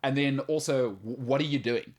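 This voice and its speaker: A man's voice: medium pitch, moderate pace. A man hosting a sports talk show, chatting casually and laughing easily. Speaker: sports radio host. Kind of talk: casual studio banter.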